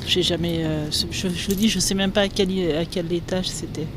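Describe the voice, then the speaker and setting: A hesitant, soft-spoken woman from Normandy chats with an interviewer out on a city street. Traffic and street noise come through clearly around her voice, which is picked up on a handheld recorder. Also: lowish voice, steady tone, moderate pace. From Normandy, France. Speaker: interviewee, local resident. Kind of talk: casual street conversation. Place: street outdoors.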